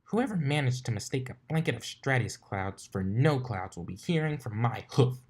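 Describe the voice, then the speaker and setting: A cocky young pegasus mare, acted out by a man, grumbles and exclaims in a raised pitch. She is a brash storybook character. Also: very animated, normal speed, darkish tone, husky. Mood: indignant.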